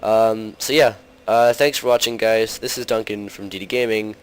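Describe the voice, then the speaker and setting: A young man hosting a gaming channel, talking through gameplay in a casual, rambling way full of fillers, his voice slightly hoarse from a cold. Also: lowish voice, fairly even intonation, normal speed.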